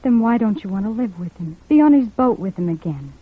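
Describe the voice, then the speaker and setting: A woman playing a gentle, caring, tactful young woman in a 1950s radio drama. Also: medium pitch, animated, brisk, slightly rough. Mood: coaxing, gentle. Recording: thin, muffled old radio recording.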